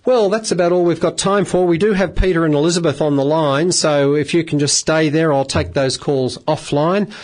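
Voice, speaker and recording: A middle-aged Australian man hosting a radio phone-in legal advice show, measured and polite, with many filler sounds. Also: on the high side. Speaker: radio phone-in host, solicitor. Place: radio studio.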